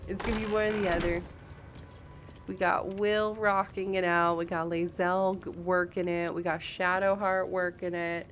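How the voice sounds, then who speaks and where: A woman's voice: lowish voice, level tone, normal speed, a little hiss or hum. A woman giving casual, chatty live game commentary, talking informally to viewers and to the characters. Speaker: live streamer.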